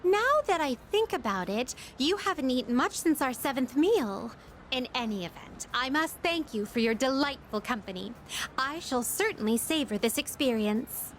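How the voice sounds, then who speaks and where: A woman's voice: high voice, slight background noise. A woman playing an elegant, formal and naively curious young lady in a video game, speaking in ornate, precise words. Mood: gracious, intrigued.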